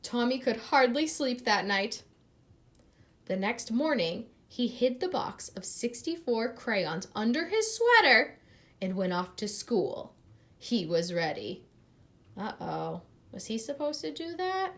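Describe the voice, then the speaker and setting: A woman reads a children's story aloud at a slow, deliberate pace. Her fairly high voice moves up and down with lively expression, and she speaks to young listeners like a teacher. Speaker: storyteller reading a picture book aloud. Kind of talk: expressive children's read-aloud with asides and questions.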